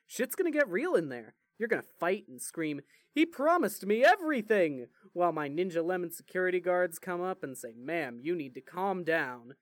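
A man's voice: high voice, sing-song, normal speed, hardly any pauses, no echo. A man playing a foul-mouthed, sarcastic teenage brother who rambles and jokes in an audio drama. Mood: joking, rambling. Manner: sarcastic, rambling, heavy swearing. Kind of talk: fan fiction audio drama acting.

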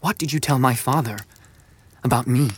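A man's voice: medium pitch, moderate pace, slightly rough, close to an everyday voice. Guy doing a stern bossy fantasy leader for an audio drama, mid voice, scornful and dismissive. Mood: cold, challenging. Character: stern, dismissive male ruler of a fantasy order.

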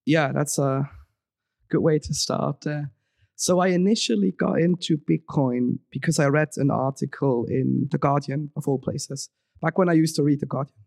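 A man's voice: a little high, normal speed, vocal fry, a little mellow, no echo, studio quality. A young man from Switzerland, a podcast guest talking casually, rambling with many ums and restarts. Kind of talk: casual interview talk.

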